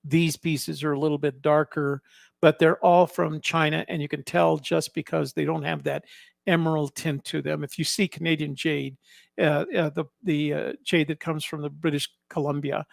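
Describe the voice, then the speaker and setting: Male vlog host, casual halting explainer, crackly fry in the voice, very dry close sound. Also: a little high, level tone, dry recording. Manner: halting, explanatory, repeats and rephrases. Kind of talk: casual narrated photo commentary.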